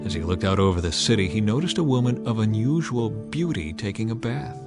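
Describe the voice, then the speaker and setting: A man giving a measured, solemn, dramatized narration of scripture as an audiobook reader. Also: lowish voice, animated. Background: music.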